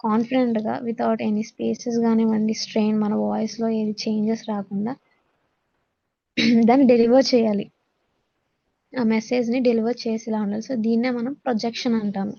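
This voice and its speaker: Creaky-voiced Telugu woman teacher, muffled audio. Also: a little high, warm tone. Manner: code-switches between Telugu and English, instructional. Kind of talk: classroom-style lecture, reading English notes and explaining them.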